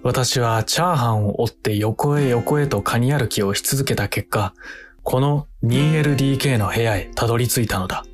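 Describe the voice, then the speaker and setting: A man's voice: medium pitch, normal speed, faint music behind, natural voice. A man playing an earnest, overthinking protagonist, narrating in formal literary phrasing for a radio drama. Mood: wistful, earnest. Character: earnest, brooding man who overthinks everyday meals. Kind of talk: scripted first-person radio drama narration.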